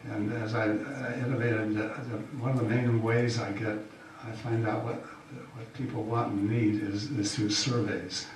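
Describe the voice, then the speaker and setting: An older man gives a folksy campaign speech, pausing often on fillers. His voice sits low and comes from a distance. The hall is very echoey, with a faint hiss behind it. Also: flat delivery. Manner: halting, folksy, many fillers. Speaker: incumbent county supervisor.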